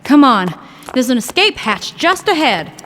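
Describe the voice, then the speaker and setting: An actress playing a bold, plucky scientist's daughter in an old-time radio adventure, spirited and brisk. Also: female voice, high voice, big pitch swings, a bit bright, slight background noise, exaggerated voice. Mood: urgent.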